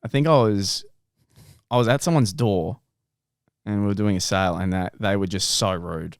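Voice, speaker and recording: A young man co-hosting a podcast, easygoing and quick to laugh, reading out questions in casual chat. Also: lowish voice, clear, resonant tone, no room sound, studio quality. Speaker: podcast co-host. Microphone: studio podcast mic.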